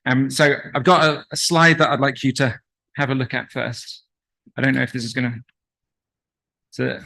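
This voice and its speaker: A man's voice: mid-range voice, a bit bright, low-quality recording. An Englishman giving a conference presentation with an English accent, drawing the audience in and having them read aloud with him. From England. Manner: engaging, asks audience to read along.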